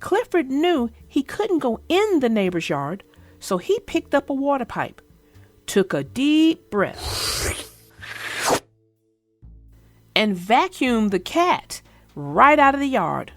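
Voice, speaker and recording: A woman voicing an earnest young girl who tells about her dog in a simple, expressive storybook read-aloud. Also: high-pitched, a little slow, no echo, faint music behind, exaggerated voice. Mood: earnest, hopeful.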